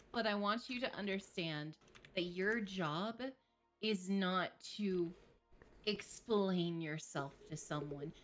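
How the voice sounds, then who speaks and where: A woman therapist speaking as a guest and giving calm, measured advice on a live stream. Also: medium pitch. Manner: slow, measured, reassuring explanations.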